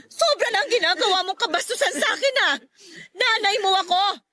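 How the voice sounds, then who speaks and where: A woman's voice: very high-pitched, expressive, fairly quick, pushed and bright, full character voice. A woman playing an angry, scornful, domineering middle-aged mother in a Tagalog radio drama, scolding with a Filipino accent. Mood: hurt, reproachful. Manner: scolding, nagging, exclamatory.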